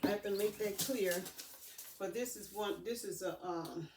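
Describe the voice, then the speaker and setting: A woman giving a casual, halting unboxing chat to her viewers, addressing them in a familiar, homely way as a vlogger. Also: mid-range voice, a little mellow. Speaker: vlogger showing purchases. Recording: roomy echo, distant mic, faint noise.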